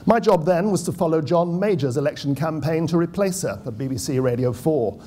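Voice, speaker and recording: A man's voice: on the high side, lively intonation, a little fast, mellow. A middle-aged British man, formerly a political correspondent, reading a prepared speech at a conference podium with dry, wry asides. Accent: British English. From United Kingdom. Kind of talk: prepared speech read from notes. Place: conference hall. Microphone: podium microphone.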